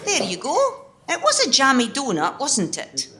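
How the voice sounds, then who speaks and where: Sceptical working woman female amateur actor, radio play. Brisk, incredulous, highish pitch, extremely expressive delivery. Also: full character voice. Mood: amused, brisk. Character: feisty, sceptical, kind-hearted working woman on day off.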